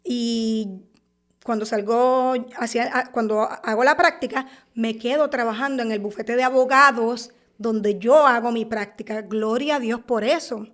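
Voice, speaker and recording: A woman in her thirties speaks with a Puerto Rican accent in a high, light voice. She is a podcast guest giving her testimony, stumbling, restarting and repeating words as she goes. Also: fairly bright tone. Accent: Puerto Rican Spanish. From Puerto Rico. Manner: halting, repeats and restarts words while recounting.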